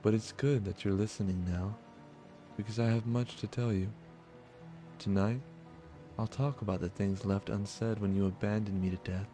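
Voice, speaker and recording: A man's voice: on the low side, steady tone, normal speed, warm tone, soft background music, natural voice. A man reading the part of an eerie, reproachful wife returned from death in a visual novel, with solemn poetic delivery. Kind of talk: visual novel dialogue read aloud.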